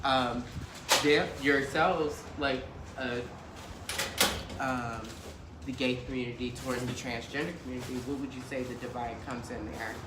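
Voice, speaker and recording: A man in his early twenties asking questions in a casual panel discussion, playful and quick to affirm others. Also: medium pitch, fairly even intonation. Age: 20s. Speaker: interviewer. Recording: echoey room with loud noise.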